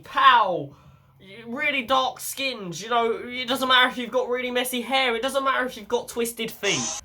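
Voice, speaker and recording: A young man hosts his own vlog, talking bluntly and often swearing. A head cold leaves him sounding blocked up and croaky. His voice is bright and forward. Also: high-pitched. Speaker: channel host. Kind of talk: casual self-help vlog.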